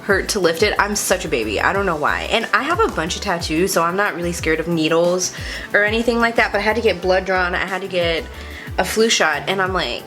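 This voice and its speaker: A woman's voice: fairly low pitch, animated, fairly quick, a bit bright, soft background music. A young adult woman vlogger chatting casually through a makeup tutorial, a bit congested and sniffly, talking in a confiding, self-deprecating way. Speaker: beauty vlogger.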